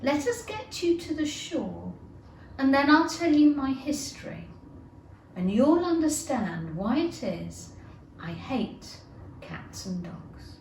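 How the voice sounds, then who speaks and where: A woman's voice: big pitch swings, slow pace, gravelly, a little mellow, exaggerated voice. A woman voicing a touchy, frightened little talking mouse from a storybook, indignant and trembling. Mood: trembling, bitter. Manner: trembling, shrill outbursts. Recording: roomy, distant, noisy amateur recording.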